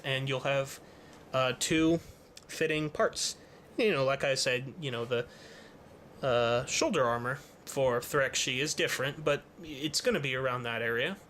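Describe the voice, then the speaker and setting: Male hobbyist presenter, bright forward tone, casual halting tutorial delivery, noticeable background noise. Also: mid-range voice. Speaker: hobbyist presenter of a toy-building how-to.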